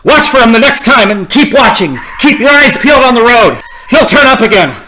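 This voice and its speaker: A man's voice: very high-pitched, big pitch swings, a little fast, pushed and bright, a little hiss or hum, full character voice, poor audio. A man acting a haunted, desperate driver in an old radio suspense style, melodramatic and prone to stammering. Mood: panicked, insistent. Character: frantic, haunted male driver on a lonely road.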